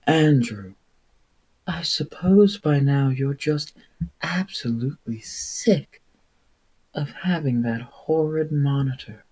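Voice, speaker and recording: Man putting on a patronizing, sugary nurse lady, husky. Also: on the high side, slow pace, a little mellow, pitched above the performer's own voice, poor audio. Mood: sugary sympathy. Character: falsely sweet monitor lady, adult nurse, patronizing, reassuring.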